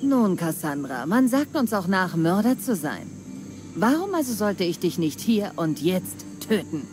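A woman voicing a commanding, menacing pirate queen in a video game, speaking deliberately and with threat. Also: on the low side, sing-song. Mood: wary, challenging. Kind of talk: video game cutscene dialogue. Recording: music behind the voice.

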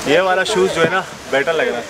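उत्साहित आवाज़ में बातें करता नौजवान व्लॉगर. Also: male voice, fairly high pitch, brisk, over a noisy crowd, rough recording. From Pakistan. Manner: chatty, excitable, laughs often. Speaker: travel vlogger. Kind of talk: casual travel vlog. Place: outdoors on snowy mountain road. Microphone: handheld camera at arm's length. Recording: outdoor noise, wind and vehicles.

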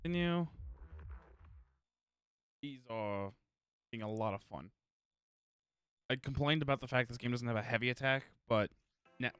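A man's voice: mid-range voice, fairly bright tone, clear, resonant tone. Man streaming a game over music. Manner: informal, amused, reads on-screen text aloud. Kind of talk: casual gameplay commentary with reactions. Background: game music and effects.

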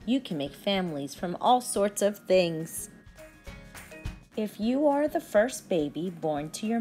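A young woman teacher reading aloud to children with deliberate, expressive enunciation. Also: lowish voice.

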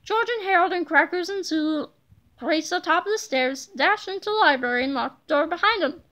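Female storybook reader for children; very high pitch, bright, forceful tone. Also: normal speed. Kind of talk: children's book read-aloud.

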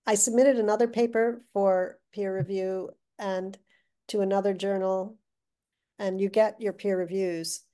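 An older woman, a guest author, telling stories in a chatty, animated way with restarts, heard over a video call. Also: mid-range voice, measured pace. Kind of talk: casual interview chat. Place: living room with brick wall. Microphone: video call webcam.

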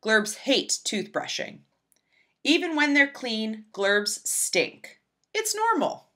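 A woman reading a children's picture book aloud in an expressive, emphatic storybook style. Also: on the high side, slow pace. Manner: animated, emphatic, storybook delivery.

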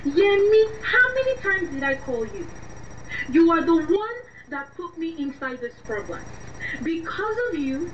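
A woman's voice: very high voice, very animated, unhurried, full character voice. An actress playing a panicky, pleading young woman in a radio drama, with a Nigerian accent and breathless, repetitive delivery. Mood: accusing. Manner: breathless, pleading, repeating herself. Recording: muffled distant mic with faint noise.

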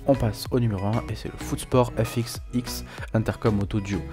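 A man's voice: on the low side, fairly even intonation, a little fast. A man presenting a scripted product review as a voice-over, reading aloud with small stumbles and self-corrections. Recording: voice-over with music behind. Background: background music.

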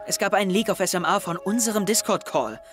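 A man's voice: high-pitched, fast, lightly acted. Young guy acting anxious teen schoolboy in a radio play, hurt and intense, suspicious, music behind him. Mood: accusing, hurt. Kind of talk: German audio drama acting, confessional narration and dialogue.

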